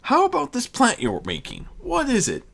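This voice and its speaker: A woman plays a theatrical mad prince, a father who is both amused and wary. The voice is raised and very animated, grandly proclaiming, then quickly flustered. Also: on the high side, vocal fry, pitched above the performer's own voice, exaggerated voice. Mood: stunned. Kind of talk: fan fiction story reading.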